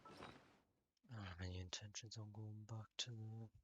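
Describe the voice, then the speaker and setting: A man's voice: lowish voice, steady tone, measured pace, noisy background. A man giving casual, rambling video game commentary, half muttering to himself as he plays. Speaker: gaming streamer. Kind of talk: casual solo gameplay commentary.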